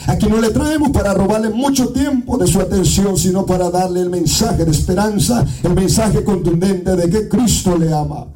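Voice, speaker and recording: A man from Guatemala with a Guatemalan accent preaching a fervent evangelical sermon in church, often shouting and half-singing his phrases. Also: high-pitched, normal speed, few pauses. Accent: Guatemalan Spanish. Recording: distant mic with music behind.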